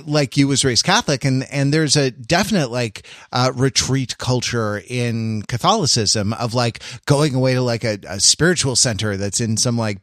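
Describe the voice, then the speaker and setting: A man co-hosting a podcast in casual conversation, rambling and halting as he circles back to rephrase his thoughts. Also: mid-range voice, no room sound, studio quality. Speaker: podcast co-host.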